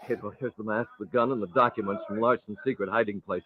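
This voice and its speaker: A low-pitched, confident gentleman crimefighter, played by a male actor in a golden age radio drama. He sounds cool and assured through a muffled, narrow vintage broadcast. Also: steady tone, fast, heavy background noise, lightly acted. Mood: composed. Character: masked vigilante hero, cool, confident gentleman. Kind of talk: 1940s radio drama acting.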